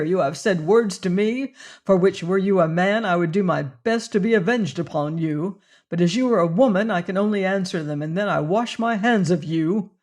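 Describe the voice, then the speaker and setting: Narrator reading a stern proud young man, loud and firm, quick to anger; storybook hero. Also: medium pitch, natural voice. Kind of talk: audiobook character voice.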